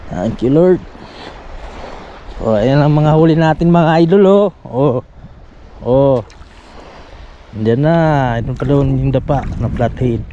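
A Filipino man on a sunny beach who fishes and talks to his viewers, excitable and repeating himself, recorded on a head-mounted action camera. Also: mid-range voice, slow, long pauses, dull audio. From Philippines. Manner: excitable, repeats phrases, calls viewers "idol". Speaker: fishing vlogger. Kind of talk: casual commentary to viewers while fishing. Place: sunny sandy beach by the sea, outdoors. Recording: outdoor camera mic with wind and sea noise.

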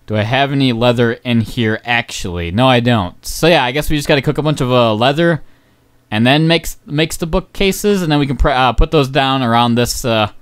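Young male game commentator, smooth voice, hoarse, rambling and excitable, many ums. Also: medium pitch. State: voice going hoarse. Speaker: gaming channel host. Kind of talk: casual gameplay commentary.

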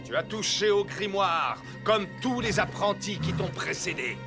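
A scheming old cartoon wizard, gloating and menacing. His voice sits fairly high and swings animatedly, yet he takes his time over each mocking phrase. Loud music plays behind him. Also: expressive, gravelly, full character voice. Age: older. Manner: theatrical, mocking, drawn-out delivery. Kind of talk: French animated fairy-tale cartoon acting.

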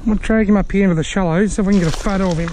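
An Australian man giving chatty, excitable commentary while fishing on a riverbank, filmed on an action camera and talking to the fish he catches. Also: sits high, rapid-fire. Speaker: fishing vlogger. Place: outdoors on a riverbank.

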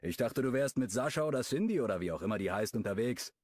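A man's voice: medium pitch, expressive, quick pace, a little mellow, no room sound, clean studio sound, pitched above the performer's own voice, close to an everyday voice. A man voicing a cocky, joking young man who teases his friends in an audiobook. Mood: teasing. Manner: mocking, playful.

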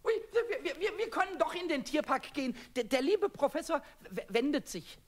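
A man playing a small, cheeky, childlike kobold in a children's radio play, squeaky and stammering in his excitement. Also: moderate pace. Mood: excited. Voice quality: squeaky, shrill. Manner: stammering, exclaiming, agitated.

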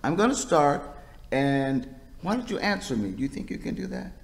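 A man's voice: fairly high pitch, very animated, normal speed, gravelly. A middle-aged man giving encouraging, instructional talk as a music teacher. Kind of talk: instructional talk with scat demonstration. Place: theater stage, indoors. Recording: keyboard music behind the voice. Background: keyboard playing.

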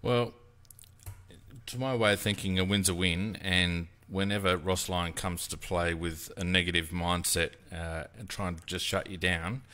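A man's voice: sits low, fairly even intonation, unhurried, bright, forceful tone. An Australian man hosting a casual sports podcast, chatty and easygoing. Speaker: host.

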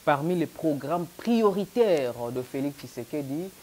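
A man's voice: a little high, animated, a little slow, darkish tone, rough recording. A man with a Congolese French accent hosting a TV political talk show, asking probing questions. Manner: probing, mild pushback. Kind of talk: interviewer questions. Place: TV studio. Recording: faint hiss.

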